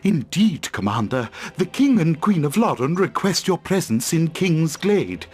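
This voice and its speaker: Formal, lilting-pitched elven envoy. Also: male voice, big pitch swings, unhurried, slightly rough, full character voice. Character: solemn, formal elven envoy, male, measured and foreboding. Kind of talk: fantasy video game cutscene acting. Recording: 1990s game audio with background music.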